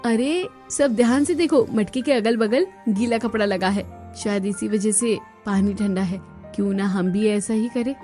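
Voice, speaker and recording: A woman's voice: mid-range voice, normal speed, mellow, faint music behind, lightly acted. A woman voicing an eager, practical young daughter-in-law explaining things in a scripted cartoon.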